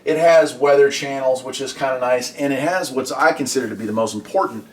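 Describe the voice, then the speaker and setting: A man's voice: medium pitch, fairly quick, slight background noise. A man hosting a hobby video, talking casually and explaining things in a conversational product review style, recorded in a garage. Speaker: host of a radio hobby video series. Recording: voice at a distance from the mic.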